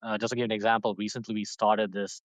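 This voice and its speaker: A man's voice: fairly low pitch, level tone, fast, no echo. A man from Dubai in his thirties, a podcast guest talking casually and rambling, with lots of fillers and restarts. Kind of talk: casual interview talk.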